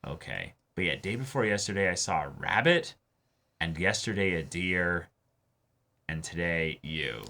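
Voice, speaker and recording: A man with a bright, forceful tone and a lowish pitch, chatting casually about an anime episode. He swings between excited jumps in voice and low, quiet mumbles. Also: measured pace, creaky. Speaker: co-host reacting to an anime. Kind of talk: casual discussion.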